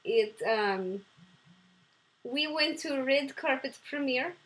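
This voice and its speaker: A woman putting on a deliberately bad Russian accent for a posh, self-important lady with broken grammar. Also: high-pitched, lively intonation, a bit bright, full character voice, rough recording. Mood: grand. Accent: fake Russian. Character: fancy Russian woman named Mila.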